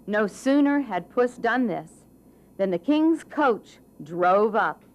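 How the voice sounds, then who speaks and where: A woman storyteller giving an expressive, deliberate scripted narration of a children's fairy tale. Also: mid-range voice.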